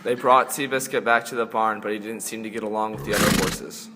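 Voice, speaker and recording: A man's voice: lowish voice, fairly even intonation, brisk, heavy background noise. A man reading scripted story narration as a narrator. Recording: echoey hall reverb.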